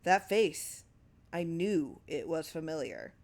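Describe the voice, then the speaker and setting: An unruffled, watchful man muses slowly over his words as a woman reader acts him out. His drawl is measured and lowish, in the manner of a storybook character thinking aloud. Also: animated, full character voice. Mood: recognition. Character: unperturbed, watchful male film man, musing over a memory.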